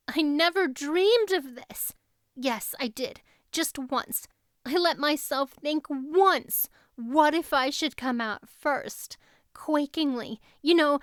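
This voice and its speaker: A woman's voice: high-pitched, no echo, studio quality, pitched above the performer's own voice. A woman voicing a dreamy, earnest, grateful teenage girl in a storybook reading.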